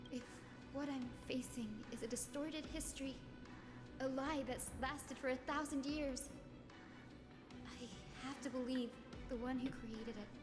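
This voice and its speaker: Woman acting an earnest, high-voiced young priestess. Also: natural voice. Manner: earnest, formal, measured. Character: earnest, gentle, resolute young priestess heroine. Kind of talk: dramatic game dialogue. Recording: echoing reverb with game music.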